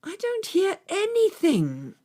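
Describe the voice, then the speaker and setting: A woman's voice: very high-pitched, wildly swooping pitch, slow, dark tone, full character voice. A woman playing a dramatic storyteller who narrates a children's space adventure, theatrical and addressing listeners directly. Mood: excited. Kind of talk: scripted children's radio narration.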